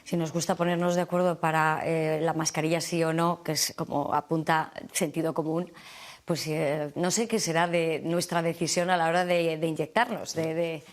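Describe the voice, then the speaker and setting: A woman's voice: deep voice, level tone, normal speed. A woman hosting a television interview, asking long questions with some hesitations. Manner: chatty, winding questions with hesitations. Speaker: TV interviewer host.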